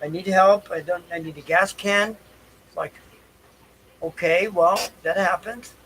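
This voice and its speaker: A man telling a casual, rambling story on a livestream in a halting, repetitive, folksy way. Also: high-pitched, fairly even intonation, noisy background. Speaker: livestream host. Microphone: stream microphone.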